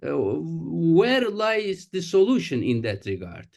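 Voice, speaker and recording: A man asking a panelist a formal question, halting with many fillers. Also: on the high side, huge pitch swings, creaky, darkish tone. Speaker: audience member asking a question.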